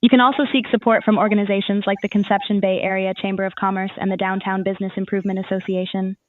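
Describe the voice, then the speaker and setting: A woman's voice: mid-range voice, little pitch movement, quick pace, no echo, narrow, tinny audio. A woman's voice as an AI assistant, giving scripted, formal informational answers in a customer-service manner.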